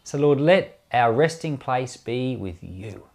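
A man's voice: medium pitch, expressive, takes it slowly, gravelly, a little mellow. A man speaking as a pastor, giving informal Bible commentary with many restarts and closing in earnest prayer.

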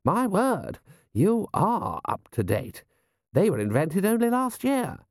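A man's voice: sits high, huge pitch swings, takes it slowly, dry recording, studio quality, voice pushed up, full character voice. A man voicing a pompous, superior aristocratic army lord with a clipped manner for an audiobook. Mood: condescending.

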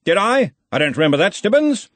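A man's voice: high-pitched, big pitch swings, a little fast, no echo, voice pushed up. A man voicing a brash, cheerful, domineering wizard arch-chancellor in a storybook, blustery and commanding. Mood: brisk questioning.

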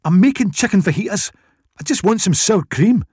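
A man's voice: high voice, lively intonation, normal speed, a little mellow, breathy edge, dry recording, clean studio sound, voice pushed up. A man voicing a curt, exasperated young woman in an audio story. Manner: curt, huffy.

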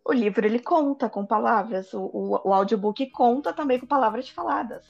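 Creaky-voiced Brazilian woman, slightly muffled. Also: on the high side, moderate pace, a little mellow. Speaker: podcast panelist. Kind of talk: casual group chat.

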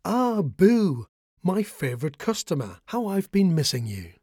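A man voicing a gentle, generous giant elephant who keeps a flower stall in a bedtime storybook. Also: high voice, big pitch swings, measured pace, husky, no echo, clean studio sound, pitched above the performer's own voice, exaggerated voice. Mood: genial.

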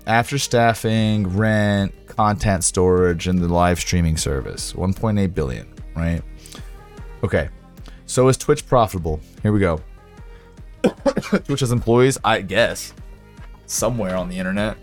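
Hoarse raspy guy on a livestream, lowish voice, rambly and sarcastic, faint music under him. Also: lively intonation, unhurried. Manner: rambling, sarcastic, swears, reads aloud to chat. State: hoarse, sore voice. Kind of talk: casual livestream chat with viewers.

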